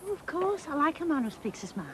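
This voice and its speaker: An actress playing a pushy, cheery, bossy wife in a TV soap. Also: female voice, sits high, very animated, quick pace, background noise. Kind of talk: 1990s TV soap acting.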